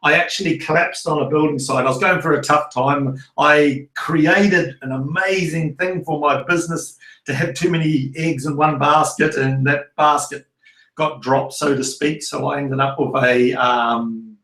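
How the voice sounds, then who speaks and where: Middle-aged Kiwi-accented male coach, rambling delivery with restarts, slightly high voice, roomy sound. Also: steady tone, unhurried, bright, forceful tone. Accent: New Zealand. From New Zealand. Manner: loose and rambling, with many restarts and fillers. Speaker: prosperity coach. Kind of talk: online masterclass talk.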